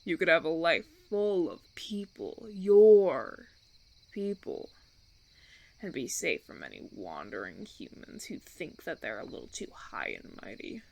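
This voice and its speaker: A suave vampire recruiter, acted by a man for an intimate audio roleplay. He persuades with deliberate, theatrical care and veiled menace. His pitch swoops dramatically and often settles into a gravelly creak. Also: very animated, heavily acted. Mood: smooth, persuasive. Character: suave menacing male vampire recruiter, smooth-talking and patronizing. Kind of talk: audio roleplay, ASMR monologue.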